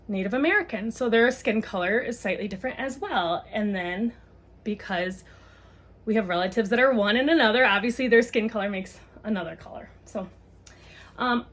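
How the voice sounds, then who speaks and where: A woman explaining and reading a children's book aloud in an informal, conversational way, often rephrasing as she goes. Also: medium pitch.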